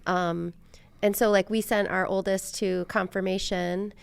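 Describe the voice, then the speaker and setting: A woman's voice: medium pitch, flat delivery, measured pace, creaky, clean tone. A middle-aged American woman hosting a podcast, talking in a chatty, informal and reflective way. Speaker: podcast host. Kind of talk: casual conversational interview.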